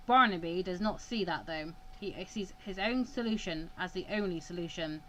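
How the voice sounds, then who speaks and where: Woman doing a stern cold mentor guy from a visual novel, measured and firm, bit of background noise. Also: fairly low pitch, sharp tone, low-quality recording. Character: stern, cold male senior mediator, the mentor.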